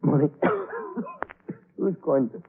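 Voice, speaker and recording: On a tinny old radio thriller, a treacherous dying husband. His low, husky voice drags out halting, broken phrases, weak and gasping yet coldly vengeful. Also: huge pitch swings, heavily acted. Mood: weak, bitter. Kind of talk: 1940s radio thriller acting.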